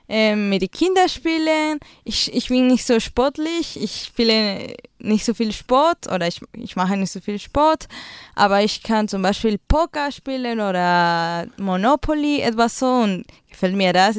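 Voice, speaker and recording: A woman's voice: on the high side, expressive, a little slow, clear, resonant tone, no room sound, studio quality. Hesitant young woman with a Peruvian Spanish accent. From Peru. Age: young adult. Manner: hesitant, searching for German words with many fillers. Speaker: guest, school volunteer. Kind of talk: casual radio interview.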